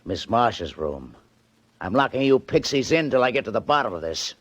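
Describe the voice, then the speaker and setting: An actor playing a gruff, exasperated police detective in a 1940s radio drama, talking tough and slangy. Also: male voice, medium pitch, sing-song, moderate pace, a bit bright, dry recording, soft background music. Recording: dull 1940s radio recording.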